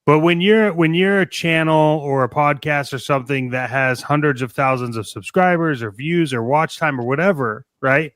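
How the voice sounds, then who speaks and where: A man co-hosting a casual podcast, giving opinions in a halting way and often repeating his phrases. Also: on the high side, fairly bright tone, no room sound, studio quality. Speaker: podcast co-host.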